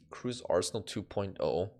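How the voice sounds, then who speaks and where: Lively streamer talking through a game. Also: male voice, on the low side. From Canada. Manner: animated, reacting aloud to results. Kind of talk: live game stream commentary.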